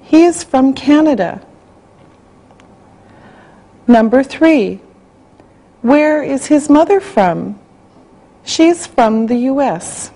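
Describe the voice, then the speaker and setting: A woman reading a language-class listening exercise, spelling out words and dictating sentences slowly and carefully. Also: sits high, animated, mellow, low-quality recording. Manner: slow, carefully spelled out, evenly paced. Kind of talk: slow scripted dictation.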